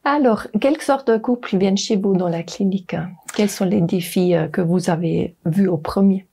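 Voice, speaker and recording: Woman interviewer, calm and brief, warm mellow voice. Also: mid-range voice, moderate pace, vocal fry, husky. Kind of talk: interview questions.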